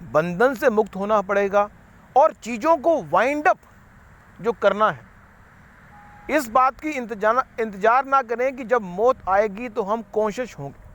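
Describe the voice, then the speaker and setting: Guy with a high voice rambling thru a spiritual talk, repeats himself a lot. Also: expressive, measured pace, a bit bright. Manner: rambling, repetitive, mixes English words. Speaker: spiritual speaker. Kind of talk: unscripted motivational talk.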